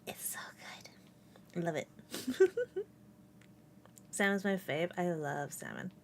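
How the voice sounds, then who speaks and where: A woman's voice: lowish voice, wildly swooping pitch, slow, slight background noise. A young adult woman streaming from home, chatting casually and giggling often between rambling thoughts. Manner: rambling and giggly, with many fillers. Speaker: VTuber streamer. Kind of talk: casual live-stream chat. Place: at home with a cat on her lap.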